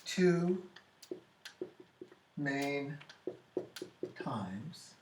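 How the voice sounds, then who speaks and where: An American man teaching an English grammar lesson, explaining slowly and repeating key phrases, often restarting his sentences. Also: a little high, animated, noisy background. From United States. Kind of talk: classroom grammar lesson at a whiteboard. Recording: echoey classroom.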